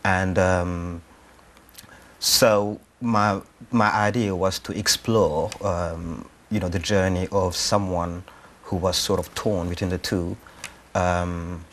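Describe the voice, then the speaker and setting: A man's voice: deep voice, fairly even intonation, takes it slowly, a bit bright. A man from Rwanda answers interview questions conversationally, hesitating as he thinks aloud. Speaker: actor-writer being interviewed.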